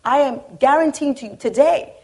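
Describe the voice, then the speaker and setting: A woman from Egypt speaking as a panelist, forceful and urgent, firing off rhetorical questions. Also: high-pitched, brisk. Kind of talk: impassioned panel talk. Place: lecture hall on stage.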